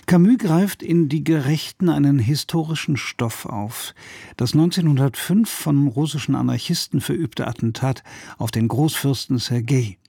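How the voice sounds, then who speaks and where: A man presenting a radio play with a formal, scripted introduction and deliberate phrasing. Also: medium pitch, creaky, warm tone, husky, no echo, studio quality.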